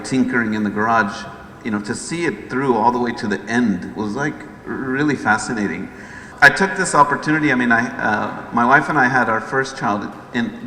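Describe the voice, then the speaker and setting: American man, low voice, echoing hall. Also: sharp tone. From Los Angeles, United States. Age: middle-aged. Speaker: guest speaker, chief executive. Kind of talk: conversational talk recounting own career. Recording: echoey hall with background noise.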